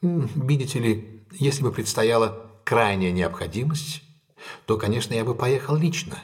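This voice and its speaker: An actor playing a composed, decisive gentleman detective in an audio drama, speaking with measured, courteous authority. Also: medium pitch, animated, close to an everyday voice.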